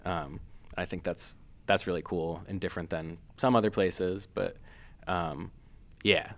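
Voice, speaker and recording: A man from Massachusetts, a filmmaker guest, talking casually with many pauses, fillers and restarts. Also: deep voice, animated, gravelly, fairly bright tone. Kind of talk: casual interview.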